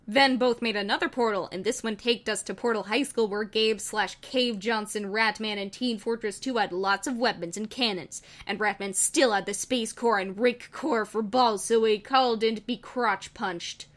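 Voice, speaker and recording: A woman's voice: medium pitch, normal speed, few pauses. A woman narrating a fan fiction story in a theatrical, dramatic reading style.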